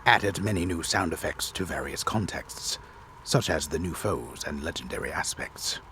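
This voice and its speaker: A man voicing a grave, disembodied game storyteller in a solemn, deliberate, theatrical reading. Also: extremely low pitch, animated, sharp tone, slight background noise, full character voice. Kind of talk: dramatic reading of game patch notes.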